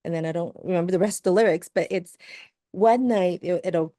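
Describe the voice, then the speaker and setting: A woman panelist in a conversation, speaking in a thoughtful, measured way. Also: fairly low pitch, dark tone, dry recording, clean studio sound.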